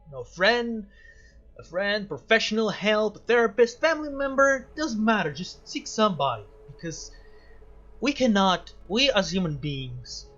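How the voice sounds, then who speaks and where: A man's voice: fairly high pitch, fairly bright tone, lo-fi sound. A man hosting a casual game playthrough, talking reflectively and often repeating his phrases as he goes. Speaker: gaming video host.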